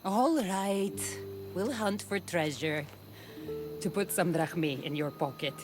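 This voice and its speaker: Wry mercenary heroine. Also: female voice, on the low side, slow pace. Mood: guarded, resigned. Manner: dry, sardonic, casual. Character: wry, weary Greek mercenary woman in her prime. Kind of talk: video game cutscene acting. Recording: music behind the voice. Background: music.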